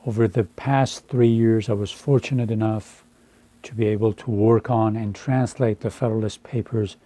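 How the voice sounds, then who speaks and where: A man in his fifties from Iran, a Farsi speaker, speaking deliberately and earnestly to camera with frequent hesitations. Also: on the low side, fairly even intonation, warm tone, no echo. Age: 50s. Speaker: translator. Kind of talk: earnest personal address to camera.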